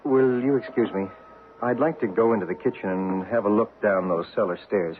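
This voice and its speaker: An actor playing a businesslike investigator's technical assistant in an old radio drama, understated and factual. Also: male voice, on the low side, moderate pace, phone-quality sound, lightly acted. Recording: band-limited, noisy old radio recording.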